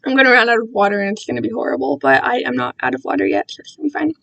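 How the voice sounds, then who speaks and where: A woman's voice: high voice, racing, vocal fry, a bit bright. A young woman chatting casually on a livestream in a rambling, self-deprecating way full of giggly internet slang. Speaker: amateur writer reading own fan fiction.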